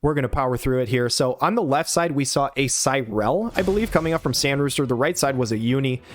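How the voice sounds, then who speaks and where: A man in his thirties giving quick, excited play-by-play of a game on a headset mic, his voice hoarse from a cold. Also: medium pitch, a little hiss or hum. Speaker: game caster. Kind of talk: play-by-play commentary.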